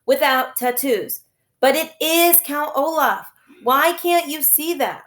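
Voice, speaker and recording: A woman's voice: high-pitched, slow pace, a bit bright, pitched above the performer's own voice. A woman voicing an earnest, stubborn orphan boy from a storybook, insistent and firm. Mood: stubborn, exasperated. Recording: echoey room.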